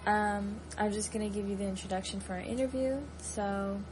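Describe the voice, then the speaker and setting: A woman's voice: mid-range voice, normal speed, noisy background. A young adult woman working as a student interviewer, reading out prepared questions and introductions.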